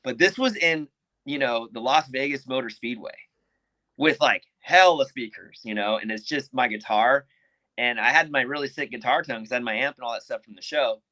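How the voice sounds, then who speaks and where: A man telling stories as a podcast guest, animated and casual, peppering his talk with "dude" and "like" and swearing freely. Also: medium pitch, normal speed, dry recording, low-quality recording. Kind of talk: casual storytelling.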